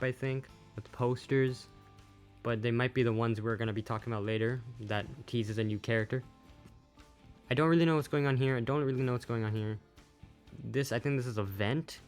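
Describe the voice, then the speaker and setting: Casual man commentating over music. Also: medium pitch. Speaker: YouTube host, game news commentator. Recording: music playing behind the voice.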